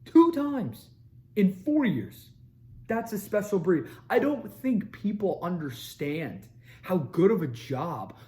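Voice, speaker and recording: A young man hosting a sports show, ranting with energy and repeating his points for emphasis, his voice a little raspy from screaming. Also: on the high side, a little mellow. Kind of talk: fired-up sports commentary to camera.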